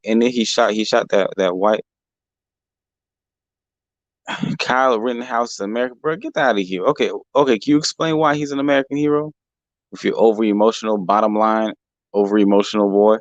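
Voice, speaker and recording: A young man hosting a casual livestream, talking in a heated, profane way and repeating himself, his voice a bit rough from allergies. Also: lowish voice, a little fast, long pauses, no room sound, muffled recording. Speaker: livestream host. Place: home in California.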